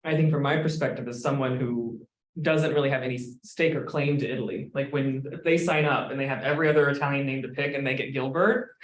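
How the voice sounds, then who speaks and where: A man's voice: medium pitch, fairly even intonation. A man who teaches Italian, talking earnestly in a roundtable and saying "you know" often. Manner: fast, earnest, uses "you know" a lot. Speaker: graduate Italian instructor. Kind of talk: roundtable discussion. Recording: echoey room.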